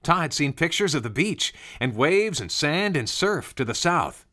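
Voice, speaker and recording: A man narrating a scripted children's story in an expressive, conversational way. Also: fairly high pitch, moderate pace. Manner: conversational, expressive, rhetorical questions.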